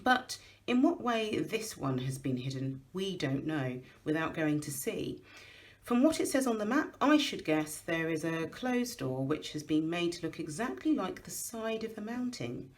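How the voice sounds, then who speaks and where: A woman's voice: on the low side, sing-song, measured pace. A woman acts out an old male wizard from a storybook. The voice swoops widely and leans hard on words. He is commanding, wry and knowing, declaiming as if he is in charge. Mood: explaining.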